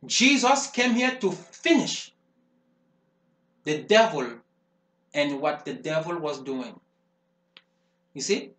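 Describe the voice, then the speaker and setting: A fervent preacher, hammering his points in repeated phrases. His voice sits high for a man. The room rings with echo around him. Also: expressive, slow, pauses often, fairly bright tone. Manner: emphatic, repetitive preaching cadence. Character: fervent male preacher warning of the devil. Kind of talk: sermon. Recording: roomy echo.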